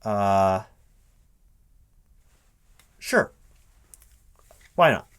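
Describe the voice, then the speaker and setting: A man's voice: on the low side, sing-song, drawn-out words, a bit bright, smooth. A man who stammers and repeats words hesitantly, speaking aloud as a recruiting manager in a one-sided ASMR roleplay. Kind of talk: one-sided interview roleplay, soft-spoken ASMR at normal voice.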